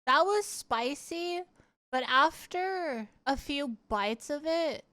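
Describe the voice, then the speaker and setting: A high-voiced woman streamer talks casually to her viewers. She is animated and giggly, rambling from one thought to the next as she tells her stories in a conversational livestream style. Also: slow pace. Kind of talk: casual livestream chat and storytelling.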